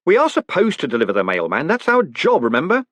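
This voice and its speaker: Man reading as brisk, wry postmaster, lively delivery, dry close mic. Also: a little high, no room sound, voice pushed up, heavily acted. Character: quick-witted male postmaster, brisk and wry.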